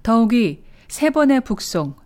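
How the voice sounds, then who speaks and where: A woman narrating a documentary news feature in a measured, formal script. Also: a little high, big pitch swings, a little mellow. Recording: narration over faint music.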